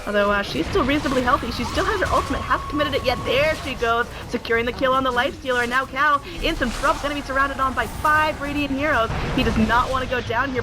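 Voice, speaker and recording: A woman casting an esports match with play-by-play commentary, her voice hoarse and worn as she loses it. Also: high voice. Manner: quick, excited play-by-play with filler pauses. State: hoarse, losing voice. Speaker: esports caster.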